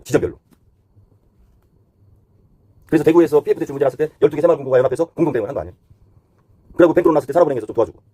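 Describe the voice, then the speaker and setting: A man delivers off-the-cuff commentary at a very rapid clip. His voice is rough and husky, and his pitch moves around in a lively way as he explains things point by point, switching between polite and blunt phrasing. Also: no room sound. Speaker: host giving economic commentary. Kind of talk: unscripted explanatory commentary on economy and finance.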